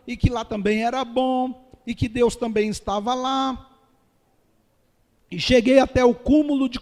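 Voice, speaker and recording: High-voiced Brazilian pastor in reverberant hall. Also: male voice, a little mellow. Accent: Brazilian Portuguese. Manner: earnest, addresses listeners as dear ones. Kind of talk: testimony sermon.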